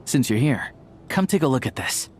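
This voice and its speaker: An actor playing a calm, thoughtful male investigator in a video game cutscene. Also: medium pitch, normal speed, slight background noise, natural voice. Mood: composed. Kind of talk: anime-style video game cutscene acting.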